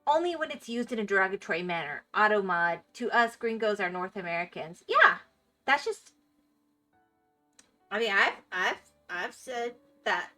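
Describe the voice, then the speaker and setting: A woman's voice: medium pitch, sing-song, moderate pace, bright, forceful tone, soft background music. A woman with a Florida accent, chatting casually with viewers as a live streamer and reacting to their comments. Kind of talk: casual chat while gaming.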